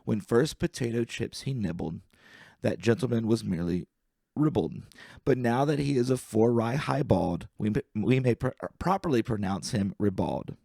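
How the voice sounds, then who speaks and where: A man reading humorous poetry aloud as an amateur, with a deliberate, wry delivery and careful enunciation. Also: medium pitch, lively intonation, gravelly, dark tone, no echo, studio quality.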